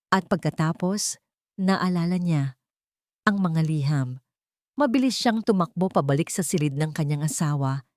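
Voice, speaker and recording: A woman's voice: sits low, no room sound, studio quality. A woman reading a scripted love story as a dramatic storyteller.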